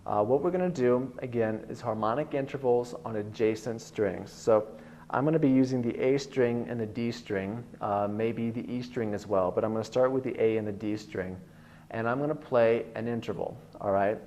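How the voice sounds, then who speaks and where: A man with a bass-baritone voice teaching a guitar lesson in an online video, explaining in a relaxed, rambling way with many fillers. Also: moderate pace.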